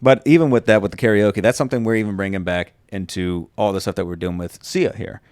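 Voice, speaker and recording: A man hosting a podcast, telling stories in animated casual chat with lots of fillers. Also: on the low side, quick pace, no room sound. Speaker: podcast host.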